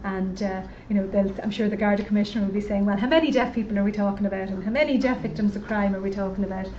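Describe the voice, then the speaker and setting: A woman's voice: mid-range voice, fairly even intonation, racing, warm tone, far from the mic. Woman researcher, casual presenting tone, dull lo-fi sound with faint noise behind. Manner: conversational, with frequent "you know" fillers. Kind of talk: conference presentation. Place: conference room at a roundtable event.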